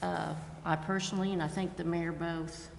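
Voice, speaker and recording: An American woman makes deliberate, earnest remarks at a council meeting. Her voice is very low and gravelly, echoing in a reverberant room. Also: little pitch movement. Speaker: city council member. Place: indoor council chamber. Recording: echoey meeting chamber with noticeable background noise.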